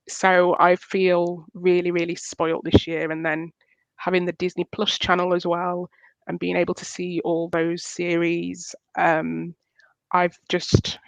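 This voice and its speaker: A woman with a Yorkshire accent, chatting as a guest on a video call through a headset mic, relaxed and enthusiastic. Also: on the low side, creaky, no echo. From Yorkshire, England. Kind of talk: casual video call chat. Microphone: headset microphone. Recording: dull, dry headset sound.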